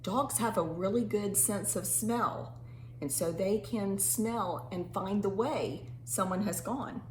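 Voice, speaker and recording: A woman teacher reads a story aloud to small children at a medium pitch. She speaks expressively, stretching important words and checking in with simple questions. The recording has a roomy echo and some faint background noise. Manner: animated, simple teacherly phrasing with rhetorical questions and drawn-out emphasis. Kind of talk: expressive picture-book read-aloud with questions to young children.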